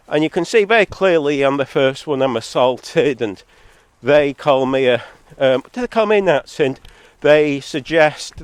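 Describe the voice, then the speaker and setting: A man's voice: smooth, dry recording. Sardonic man with a head cold, clipped audio. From Zambia-born, living in England. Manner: argumentative, sardonic, wandering asides. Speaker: solo video maker. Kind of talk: rambling outdoor vlog monologue. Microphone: phone or camera, recorded too hot. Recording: distorted, clipping from high recording level.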